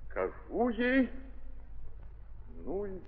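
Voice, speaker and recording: An actor playing a remorseful, self-accusing nobleman in an old radio drama, halting and repeating his words in anguish. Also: high-pitched, wildly swooping pitch, exaggerated voice. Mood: agitated resolve. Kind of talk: 1930s radio theatre acting. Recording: muffled husky 1930s recording, long hall reverb.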